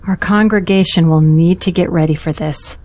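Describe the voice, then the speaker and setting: A woman playing a pastor's supportive confidante in an audio drama, earnest and measured. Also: fairly low pitch, steady tone, a little mellow, slight background noise, close to an everyday voice. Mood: concerned.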